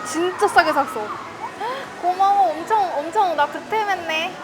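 A woman's voice: very high voice, measured pace. A woman chatting casually as a vlog co-host, with a playful, bantering manner. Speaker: vlog co-host, wife in a couple channel. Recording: busy noisy urban public places.

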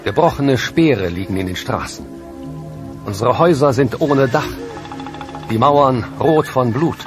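An actor playing a grieving Aztec survivor, reading a solemn lament in a documentary. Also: male voice, mid-range voice, measured pace, natural voice. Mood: mournful. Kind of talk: dramatic reading of historical testimony. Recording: voice over loud music. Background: music.

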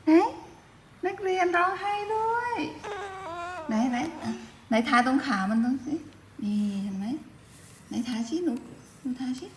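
A woman's voice: high-pitched, sing-song, measured pace, long pauses. A woman chatting casually with a small child, coaxing with short, repeated prompts. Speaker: family member filming a young child. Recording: roomy echo, faint background noise.

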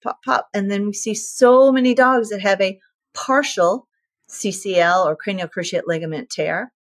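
Lively woman in her fifties explaining. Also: medium pitch. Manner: lively, uses vivid comparisons and repetition for emphasis. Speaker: veterinarian guest. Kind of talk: explanatory interview answers.

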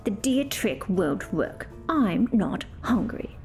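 A woman's voice: mid-range voice, sing-song, slow pace, vocal fry, soft background music, full character voice. A woman voicing a spoiled, greedy male dragon who whines and sulks over his gold. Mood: sulky. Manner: petulant, whiny.

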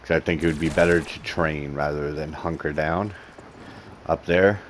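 A man with a very low voice gives laid-back gameplay commentary, chatting to his viewers and poking fun at himself. A faint noise runs under the recording. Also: fairly even intonation, moderate pace. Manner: laid-back, self-mocking, talks to viewers. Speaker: gaming commentator.

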